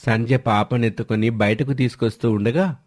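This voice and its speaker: A man's voice: medium pitch, fairly even intonation, moderate pace, a little mellow. A man narrating a scripted family story as the storyteller.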